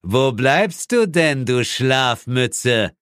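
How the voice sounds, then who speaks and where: A man's voice: medium pitch, animated, very slow, fairly bright tone, clean tone, dry recording, clean studio sound, pitched above the performer's own voice. A man voicing the devil as a sneering, mock-friendly villain in an audiobook. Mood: jovial teasing. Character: the devil, mocking male arch-enemy with a bleating laugh.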